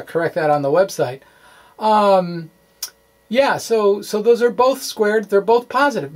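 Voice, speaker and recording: Man talking through math, halting and informal. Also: sits high. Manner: halting, self-correcting, thinking aloud. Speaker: physics explainer and blogger. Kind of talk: informal math walkthrough, reading and ad-libbing.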